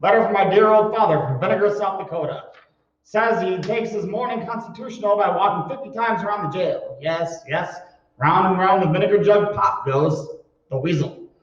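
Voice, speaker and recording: A man's voice: high voice, moderate pace, close to an everyday voice. An actor playing a shady, jovial con man who rambles and mutters floridly in old-time radio comedy style. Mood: muttering, rambling. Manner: flowery, rambling, muttering to himself. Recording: echoey hall, distant mic, dull sound.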